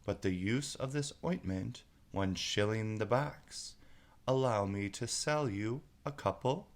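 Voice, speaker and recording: A man playing an unruffled, boastful old sage, reciting comic storybook verse in measured lines. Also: on the low side. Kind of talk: storybook poem reading.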